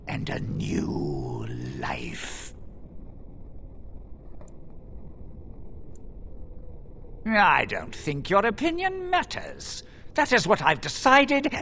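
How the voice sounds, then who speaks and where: An actor playing a smug, power-mad, demon-possessed mage villain in a video game, speaking with theatrical, condescending emphasis. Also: male voice, medium pitch, huge pitch swings, slow pace, vocal fry, pushed and bright, slight background noise, full character voice. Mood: commanding, menacing. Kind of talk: dramatic video game acting.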